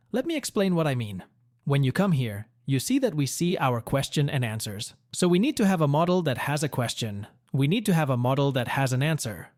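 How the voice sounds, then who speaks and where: A man's voice: medium pitch, darkish tone, no room sound, clean studio sound. A man presenting a programming tutorial, talking through each step and the reason behind it in an instructional way. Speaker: coding tutorial host. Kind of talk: step-by-step screen-recorded programming walkthrough.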